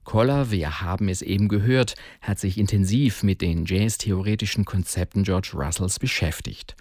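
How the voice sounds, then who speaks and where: Man, lowish pitch, scripted radio presenting, articulate, measured, very dry sound. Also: darkish tone, no room sound.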